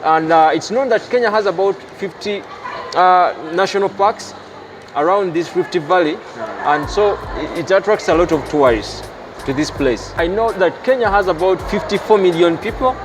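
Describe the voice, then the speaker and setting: A man's voice: high voice, normal speed, background noise. A Ugandan man with a Ugandan accent talking at length in a casual chat. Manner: expansive, explains at length. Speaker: guest.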